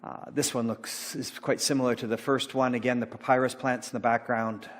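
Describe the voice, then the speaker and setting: Halting middle-aged man preaching in a hall. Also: medium pitch, little pitch movement, slight background noise. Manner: rambling, halting, many fillers and restarts. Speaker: pastor. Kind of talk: informal sermon talk about slides. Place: church sanctuary. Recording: long church hall reverb.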